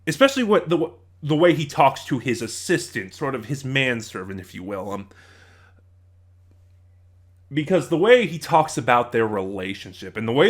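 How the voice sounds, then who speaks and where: Man giving an emphatic, halting review. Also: fairly low pitch, fairly bright tone. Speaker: audio drama reviewer. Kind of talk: informal spoken review.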